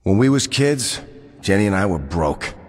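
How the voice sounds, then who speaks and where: A man's voice: low-pitched, very animated, normal speed, big-room sound. A man voicing a brooding, tough mob boss with a New York accent in a video game, terse and streetwise. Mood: wistful, reflective. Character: brooding tough male mob boss, haunted by lost love. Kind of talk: video game dialogue and monologue.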